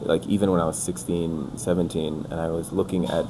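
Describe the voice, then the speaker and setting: Very deep-voiced young man, rambling. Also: brisk. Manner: relaxed, rambling, says "like" and "bro" a lot. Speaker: podcast guest. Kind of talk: casual chat. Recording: noisy background.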